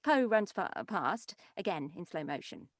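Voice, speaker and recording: A woman's voice: on the low side, big pitch swings, measured pace, no echo, clean studio sound. A woman reading stage directions as scripted narration at an animation table read.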